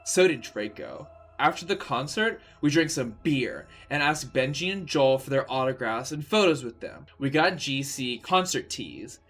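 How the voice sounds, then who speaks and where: A man streaming a comic dramatic reading, narrating in a deadpan, mock-serious way. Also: mid-range voice, normal speed, hardly any pauses. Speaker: streamer reading fan fiction.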